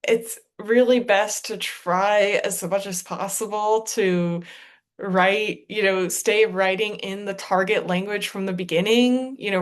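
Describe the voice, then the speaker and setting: A woman answers audience questions as the guest presenter of an online webinar. She speaks slowly and thoughtfully, hedging her advice. Her voice comes through a video call. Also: medium pitch, smooth. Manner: thoughtful, hedging, laughs easily.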